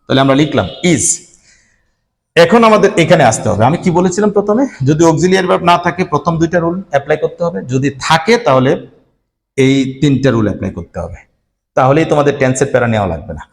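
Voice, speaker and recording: A male teacher speaking at an ordinary mid pitch, walking students through grammar rules one step at a time. He addresses the class directly and breaks off between words while writing examples out. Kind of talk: whiteboard grammar lesson.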